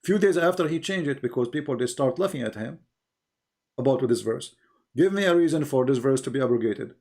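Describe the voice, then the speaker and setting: A man's voice: medium pitch, expressive, brisk, a little mellow. Man arguing in insistent lecture style. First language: non-English. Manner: insistent, didactic, rhetorical questions. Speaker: religious debater on a live call. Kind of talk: polemical live lecture to a caller.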